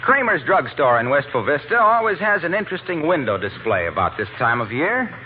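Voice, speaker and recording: Male vintage radio announcer, persuasive, higher animated voice, thin phone-like sound. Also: a little fast, noisy background. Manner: polished, persuasive. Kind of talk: 1940s scripted announcing and sponsor pitch. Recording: thin, band-limited vintage radio recording.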